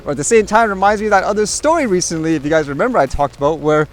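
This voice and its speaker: Higher-pitched man chatting over music. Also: animated, racing. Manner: rambling, conversational, frequent hedging asides. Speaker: vlogger host. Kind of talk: casual opinion commentary to camera.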